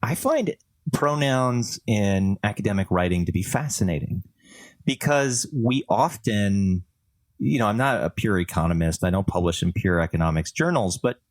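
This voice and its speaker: A man's voice: fairly low pitch, expressive, moderate pace, creaky, no echo. A man from Canada chatting casually as a podcast co-host, explaining things in a thoughtful, discursive way.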